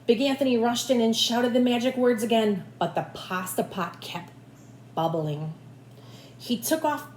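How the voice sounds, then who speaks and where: A woman teacher reading a picture book aloud in an animated way, with asides to young listeners. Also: medium pitch. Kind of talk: expressive children's read-aloud with asides to listeners. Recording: echoey room with faint background noise.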